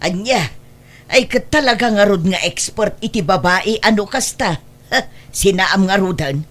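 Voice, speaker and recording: A woman's voice: mid-range voice, measured pace, fairly bright tone. A woman playing a blunt, scolding middle-aged mother with an Ilocano accent in an audio drama. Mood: incredulous. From Philippines. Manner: exclamatory, chiding. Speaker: voice actor in comedy drama.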